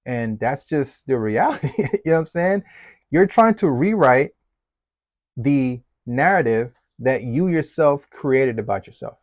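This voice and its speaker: A man with a Jamaican accent, giving a casual motivational talk as a fatherhood coach in a relaxed, conversational way. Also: mid-range voice, a little slow, no echo, studio quality. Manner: relaxed, conversational, many fillers, laughs easily.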